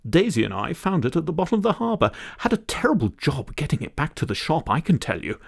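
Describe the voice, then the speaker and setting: A man voicing a friendly, talkative old lady from a storybook, fussing briskly over a guest. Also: fairly high pitch, sing-song, vocal fry, slightly rough, pitched above the performer's own voice, full character voice. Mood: chatty, welcoming.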